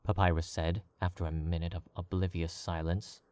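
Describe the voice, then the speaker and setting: A man with a very low voice narrates a story in a measured, even way. The sound is close and dry, with no room around it. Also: dry recording. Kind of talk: scripted audiobook narration.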